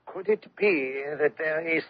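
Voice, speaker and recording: An actor playing an uneasy, wondering German officer in a radio drama, talking in a hesitant, musing way. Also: male voice, on the high side, a little slow, breathy edge, no room sound. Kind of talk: 1970s radio drama acting. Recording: dry, muffled old radio recording.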